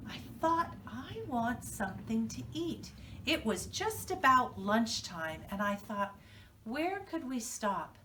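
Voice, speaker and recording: Female preschool teacher, American, unhurried and highly animated storytelling for little learners, heard slightly away from the mic. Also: distant mic, slight background noise, low-quality recording. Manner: instructional, question-and-prompt delivery for children. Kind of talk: reading lesson and storytelling for young children.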